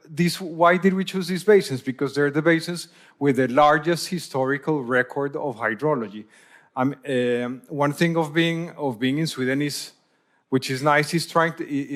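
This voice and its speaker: A man from Colombia, giving a conference presentation as a hydrologist and often repeating words as he searches for phrasing. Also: fairly high pitch.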